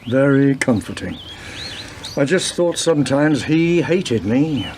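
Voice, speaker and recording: An actor playing a weary, wry senior police detective in a radio play, speaking in a dry, deliberate way. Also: male voice, mid-range voice, big pitch swings, darkish tone, a little hiss or hum. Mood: wry, grave.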